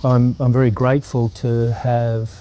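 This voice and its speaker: Guest spot: middle-aged Australian man, dark and even, reflective. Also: mid-range voice, muffled recording, a little hiss or hum. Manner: earnest, reflective, unhurried. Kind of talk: interview answers.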